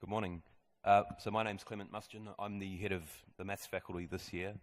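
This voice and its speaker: A man's voice: deep voice, level tone, fairly quick. A man who heads a school maths department, steadily explaining the curriculum to parents in a school presentation room.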